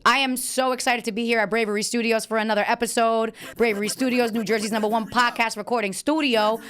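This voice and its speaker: A woman's voice: on the high side, fairly even intonation, fast, a bit bright, smooth. A woman hosting a podcast in a recording studio, talking in an exuberant, playful and slangy way. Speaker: podcast host. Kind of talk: casual interview chat.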